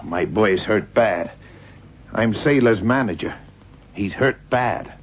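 An actor playing an agitated, indignant boxing manager in a radio drama. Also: male voice, on the low side, takes it slowly, husky. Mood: distraught. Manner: indignant, protesting. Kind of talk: 1960s-70s radio drama acting. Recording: dull, noisy vintage radio recording.

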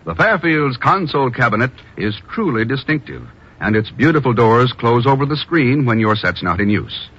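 A man's voice: medium pitch, big pitch swings, normal speed, fairly bright tone, husky. A man working as a 1950s radio announcer, reading scripted copy and adverts in a polished, formal salesman's manner. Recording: dull old radio broadcast, music behind.

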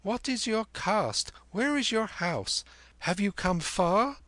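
Man voicing a high-pitched, cheeky street boy. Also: expressive, slow pace, a bit bright, no room sound, heavily acted. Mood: cheeky, curious. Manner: brash, teasing, archaic phrasing. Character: bold, cheeky street boy, quick and confident.

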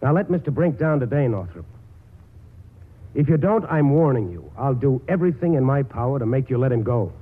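An actor playing a stern small-town doctor with a firm, pressing, measured manner in a 1940s radio drama. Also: male voice, mid-range voice, very animated, background noise, lightly acted. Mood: stern, urgent. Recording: muffled, narrow-band 1940s radio broadcast.

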